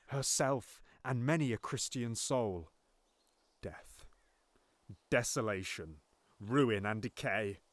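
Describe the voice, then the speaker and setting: Brief: a man as a scheming usurper king, slow and deliberate, measured stage delivery, dry close sound. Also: medium pitch, no room sound. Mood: solemn. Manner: pleading then bitterly contemptuous, with sudden hushed whispers. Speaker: actor in audio drama. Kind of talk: Shakespearean audio drama acting.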